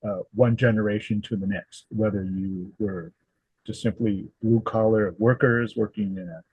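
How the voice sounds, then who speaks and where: A man in his seventies from Southern California, answering interview questions in a thoughtful, halting way with many fillers and restarts. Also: on the low side, steady tone, phone-quality sound, slight background noise. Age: 70s. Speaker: guest, historical society president.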